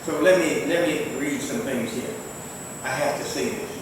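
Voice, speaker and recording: An older man giving a Friday sermon as imam, preaching with emphatic rising exclamations, his first language not Arabic. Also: moderate pace. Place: large mosque prayer hall. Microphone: distant mic in a mosque. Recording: echoey hall, far from the mic, faint rumble.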